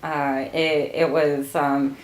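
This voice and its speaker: A woman's voice: low-pitched, takes it slowly, roomy sound. A woman in her late thirties, born in Portugal, answering family interview questions with frequent laughter. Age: late 30s. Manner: laughs often, relaxed storytelling.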